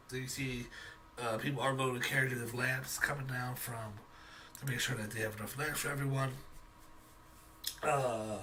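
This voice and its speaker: A man running a tabletop game, improvising the narration haltingly as he searches for words. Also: medium pitch, sharp tone. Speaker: game master. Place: online voice call. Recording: slightly distant mic, faint noise.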